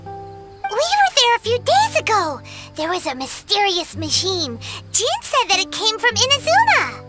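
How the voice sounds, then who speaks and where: A woman voicing a tiny, excitable, childlike fairy companion in an anime video game, with a squeaky, exclamatory delivery. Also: measured pace, full character voice. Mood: curious, chatty. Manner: exclamatory, refers to self in third person. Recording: loud background music.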